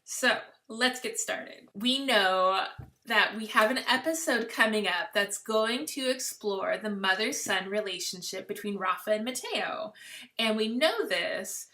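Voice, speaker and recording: A woman's voice: mid-range voice, animated, a little slow, pushed and bright. A woman hosting a casual fan podcast, chatty and upbeat, laughing often. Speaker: podcast host.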